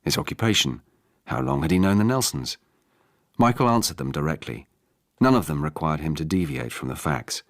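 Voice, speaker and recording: Male audiobook narrator, extremely low voice, dry close sound, measured and restrained storytelling. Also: expressive, no room sound. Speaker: audiobook narrator reading an abridged novel for radio. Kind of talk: scripted literary narration.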